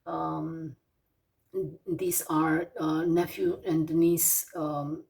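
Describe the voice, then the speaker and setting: A Romanian woman in her forties with a Romanian accent, teaching English vocabulary slowly, with many fillers and pauses as she lists words one by one. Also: deep voice, flat delivery, creaky, a little mellow, recorded from a distance, rough recording. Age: 40s. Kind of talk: slow vocabulary lesson.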